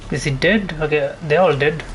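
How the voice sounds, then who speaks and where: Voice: medium pitch, normal speed. A gamer giving casual, informal play-by-play commentary, thinking aloud and swearing mildly now and then. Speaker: gameplay streamer.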